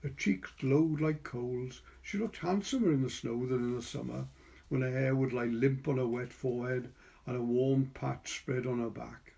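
A man's voice: mid-range voice, fairly even intonation, normal speed, creaky, mellow. A man with a Welsh accent reading a literary short story as narrator. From Wales.

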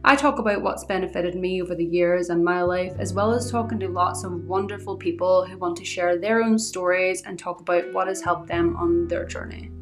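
A young woman with a Northern Irish accent that has picked up some Scottish tones talks into a podcast microphone. Her voice sits a little low for a woman. She chats in a rambling, earnest way as a solo podcast host. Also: a little fast, few pauses, soft background music. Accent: Northern Irish, softened by Scottish. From Northern Ireland.

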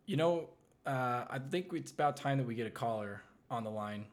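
A man co-hosting a comedy podcast, tossing in quick jokey interjections. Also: medium pitch, lively intonation. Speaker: podcast co-host. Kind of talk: improvised comedy chat.

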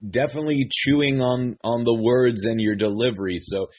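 A man's voice: medium pitch, fairly even intonation, fairly quick, noisy background. A male interviewer asking long, rambling questions full of fillers. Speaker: entertainment interviewer. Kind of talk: press interview.

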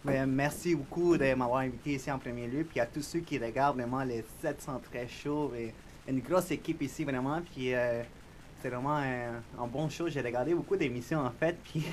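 A young man with a Spanish accent, a guest on a podcast interview, talking in a soft, reflective, shy way. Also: mid-range voice, moderate pace, slight background noise. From Spanish-speaking country. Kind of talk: casual interview chat.

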